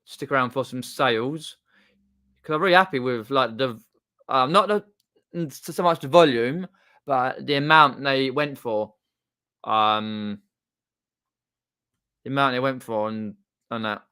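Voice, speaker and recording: A man chatting casually on a livestream in a rambling, halting way, often trailing off mid-sentence. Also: medium pitch, pushed and bright, clear, resonant tone. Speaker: live streamer and video channel host. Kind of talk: casual unscripted livestream chat. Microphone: desktop condenser microphone.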